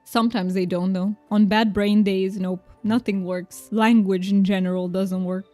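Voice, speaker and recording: A young woman whose first language is Romanian chats with viewers as she plays, friendly and reassuring, often laughing and restarting her sentences. Her voice is mellow and dry, with soft game music behind. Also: mid-range voice, little pitch movement, moderate pace, no echo. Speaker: streamer. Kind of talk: casual game stream chat.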